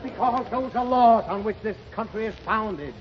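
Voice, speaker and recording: Guy playing a frazzled dad losing his patience, high voice that jumps around, old hissy radio sound. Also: normal speed, natural voice. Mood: exasperated. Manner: flustered, theatrically exasperated, sputtering. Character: exasperated father doing his taxes. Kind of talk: 1930s radio comedy acting. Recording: dull 1930s radio broadcast with hiss and studio audience.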